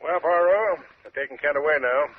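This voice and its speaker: An actor playing a plain-spoken American police inspector, informal and slangy, in old-time radio drama style. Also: male voice, a little high, a little fast, lightly acted. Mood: breezy, satisfied. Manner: informal, matter-of-fact, slangy. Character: plain-spoken, brisk New York police inspector, male. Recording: dull, noisy old radio recording.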